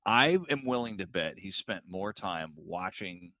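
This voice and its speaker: A man co-hosting a comedy podcast, telling stories in an animated, joking way. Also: deep voice, measured pace, no echo, highs cut off. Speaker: podcast co-host. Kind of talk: casual podcast chat.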